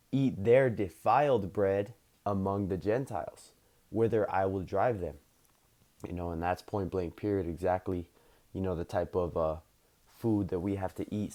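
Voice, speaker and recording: Low, dark-voiced man, slightly hoarse. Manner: rambling, many fillers, reads scripture aloud. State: slightly hoarse, losing his voice. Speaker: religious teacher. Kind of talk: informal scripture lesson.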